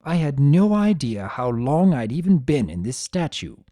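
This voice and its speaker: A storyteller plays a wry, self-absorbed spirit of chaos narrating a fantasy tale. The delivery is theatrical, with wide pitch swings and a mellow tone. The recording is dry and close. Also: big pitch swings, moderate pace, dry recording, natural voice. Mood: bewildered, musing. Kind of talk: first-person dramatic storytelling.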